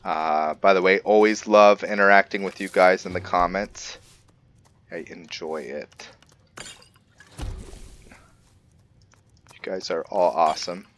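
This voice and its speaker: A man's voice: deep voice, steady tone, slow, long pauses, a bit bright. A man giving casual gameplay commentary, thinking aloud and musing to himself as he goes. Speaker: gameplay video host.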